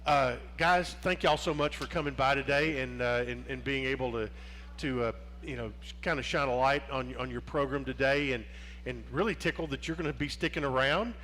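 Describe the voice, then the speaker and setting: A man's voice: mid-range voice, fairly quick, a bit bright, a little hiss or hum. A man hosting a casual sports interview, rambling with many restarts and fillers. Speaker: sports show host.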